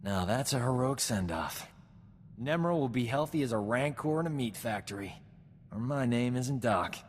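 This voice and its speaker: An actor playing a vain, flirtatious, smooth-talking doctor in a video game. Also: male voice, mid-range voice, animated, normal speed, long reverb tail, slight background noise, natural voice. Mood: cocky. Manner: cocky, self-admiring, smooth-talking. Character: vain, flirtatious male doctor, boastful charming rogue. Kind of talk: video game cutscene acting.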